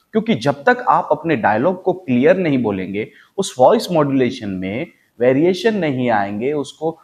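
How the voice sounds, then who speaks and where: An Indian-accented man speaking as an acting coach, giving an instructional talk in an emphatic way with repeated, parallel phrases. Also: medium pitch. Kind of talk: instructional talk to viewers, demonstrating voice techniques.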